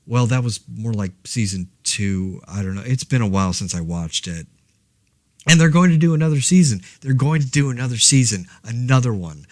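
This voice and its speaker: Male actor as wry, hesitant survivor-podcaster, low voice, dry sound, deadpan casual monologue. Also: no room sound. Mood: incredulous. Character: laid-back, rambling man podcasting alone through a zombie outbreak. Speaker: podcast host. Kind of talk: casual monologue, fictional audio diary.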